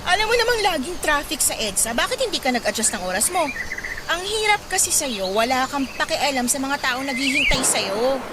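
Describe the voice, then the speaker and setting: An actress playing a proud, strict and critical young eldest sister in a Tagalog radio drama, with a pointed delivery. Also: female voice, high-pitched, lively intonation, brisk, heavy background noise. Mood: scolding, angry. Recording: loud music under the dialogue.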